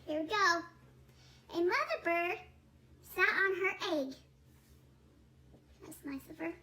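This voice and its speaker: A woman reading a children's picture book aloud in a playful, expressive way, drawing out words. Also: very high-pitched, takes it slowly. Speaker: storybook reader.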